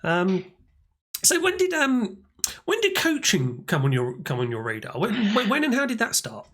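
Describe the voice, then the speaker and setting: A man hosting a casual podcast interview, halting and repeating words as he asks his questions. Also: high voice, wildly swooping pitch, a bit bright. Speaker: podcast host.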